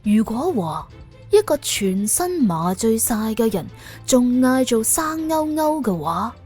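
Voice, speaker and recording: A woman's voice: on the high side, very animated, slow, warm tone, breathy edge, soft background music. A woman with a Cantonese accent reading a scripted suspense audiobook as its narrator.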